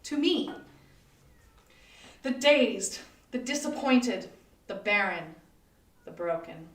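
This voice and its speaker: A woman giving a dramatic reading of gothic horror prose with deliberate, melodramatic emphasis. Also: medium pitch, lively intonation. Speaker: volunteer or library staff reader. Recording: echoey room, distant mic.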